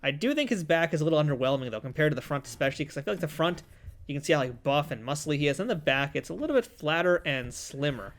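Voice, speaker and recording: A man's voice: on the high side, lively intonation, quick pace. A man in his thirties co-hosting a collectible review in a studio, chatty and jokey as he explains details at a table. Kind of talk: casual review chat. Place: indoor studio set at a table.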